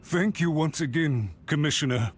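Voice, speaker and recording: A man's voice: on the high side, normal speed, slight background noise, close to an everyday voice. An actor playing a cowed, nervously deferential male servant in a video game, hesitant and meek. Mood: intimidated, meek. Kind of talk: scripted video game voice acting.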